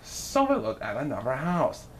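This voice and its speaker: A woman reading a children's story aloud in an expressive narrating style, with noise behind her. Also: extremely low pitch, normal speed. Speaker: storybook reader.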